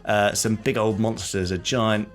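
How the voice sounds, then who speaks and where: A man's voice: fairly low pitch, level tone, measured pace, soft background music. A man hosting a podcast in casual, conversational chat. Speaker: podcast host.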